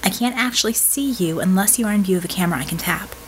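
A woman voicing an earnest, quick-witted young female hacker-mage in an audiobook, explaining things assertively with dry wit. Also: mid-range voice, slight background noise, lightly acted, poor audio. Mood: brisk, explanatory. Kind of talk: audiobook character dialogue.

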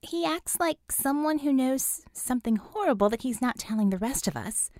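Feisty young superheroine as played by a woman reader, blunt and emphatic, highish pitch with lively swings, dry close sound. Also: normal speed, no echo. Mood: earnest, tentative. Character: outspoken, feisty young female superhero, exasperated but caring.

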